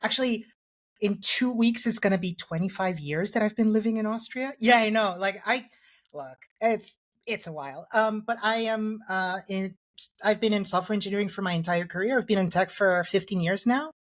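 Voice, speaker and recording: A woman's voice: mid-range voice, normal speed. A woman from Chile speaks as a podcast guest in a mid-range voice. She talks casually about her work and pokes fun at herself. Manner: self-deprecating, joking. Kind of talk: casual interview.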